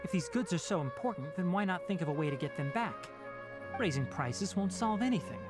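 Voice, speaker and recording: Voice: mid-range voice, lively intonation, brisk, lightly acted. An actor playing a blunt, impatient companion character in a video game, asking pointed questions. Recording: music behind the voice.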